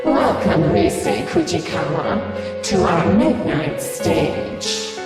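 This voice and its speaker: An eerie otherworldly woman beckons theatrically, as if to lure someone in. Her lowish voice moves extremely slowly. It sounds distant in a vast reverberant space, with loud music behind it. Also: animated. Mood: eerily inviting. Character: eerie otherworldly female voice luring victims to its stage. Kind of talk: video game voice acting. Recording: echoey cavernous reverb over loud music.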